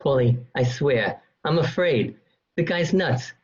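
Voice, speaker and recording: A man's voice: medium pitch, lively intonation, slow pace. A man playing a frightened bar owner pleading for help in an amateur radio play. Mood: anxious pleading. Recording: muffled home internet recording.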